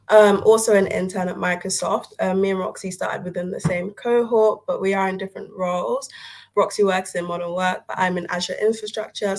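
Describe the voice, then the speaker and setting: Young female intern, casual candid presenter, middle-pitched voice. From Nigeria. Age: young adult. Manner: chatty, candid, filler-laden. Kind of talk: informal presentation to educators.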